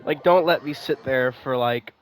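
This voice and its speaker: A man's voice: medium pitch, normal speed, clean tone, low-quality recording. A man commentating casually on a video game as he plays, informal and sweary, often repeating his phrases. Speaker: game streamer. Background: faint game music.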